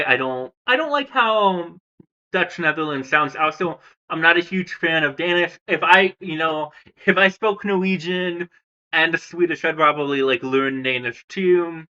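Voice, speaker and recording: A man from the United States rambles casually on camera, stopping and restarting his sentences with plenty of ums. His voice is bright and a touch high. The sound is dull and lo-fi. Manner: hesitant, lots of fillers and restarts. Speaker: language vlogger.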